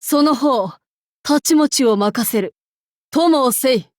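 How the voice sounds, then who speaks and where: An imperious female demon king from a video game. She talks slowly, in archaic and lordly phrases, haughty yet amused. The recording is close and very dry. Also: high voice, lively intonation, no echo. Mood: commanding. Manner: archaic, lordly, commanding speech. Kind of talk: Japanese game character voice lines.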